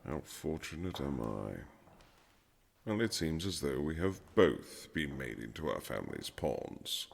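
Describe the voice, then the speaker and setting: A man playing a haughty, sardonic aristocratic husband in a romantic period audio drama, speaking in formal, measured, teasing phrases. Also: a bass voice, very animated, gravelly, exaggerated voice. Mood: cool, mocking. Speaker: audio roleplay performer. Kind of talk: romantic period-drama ASMR roleplay, scripted.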